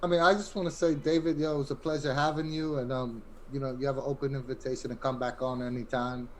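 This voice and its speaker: A man's voice: medium pitch, little pitch movement, fast, slight background noise, poor audio. A man co-hosting a podcast with casual, joking, laid-back banter. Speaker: podcast co-host.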